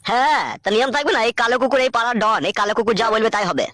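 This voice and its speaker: Man acting a high-pitched swaggering street thug. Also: very fast, bright, forceful tone. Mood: smooth swagger. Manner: bullying, crude slangy street talk. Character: swaggering young male neighbourhood thug, threatening and crude. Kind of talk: comic cartoon dubbing.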